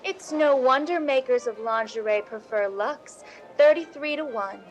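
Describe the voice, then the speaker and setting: Lady doing a chirpy old hollywood radio reporter, high voice, gushy ad patter, faint music. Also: unhurried, low-quality recording. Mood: gushing. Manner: gushing, polished. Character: chirpy 1950s female Hollywood fashion reporter. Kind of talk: scripted 1950s radio ad patter.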